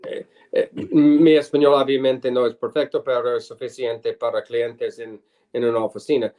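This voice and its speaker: A middle-aged man, a native English speaker and lawyer, answering interview questions in halting, searching phrases and switching between two languages. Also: mid-range voice, flat delivery, mellow. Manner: halting, searching for words in Spanish. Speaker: lawyer and former state senator, guest.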